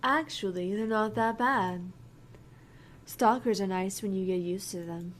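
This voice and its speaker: A breezy young pop idol from a video game, read aloud by a woman, earnest and a little theatrical. She speaks at an unhurried pace, over clear recording noise. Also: mid-range voice, close to an everyday voice. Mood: proud, matter-of-fact.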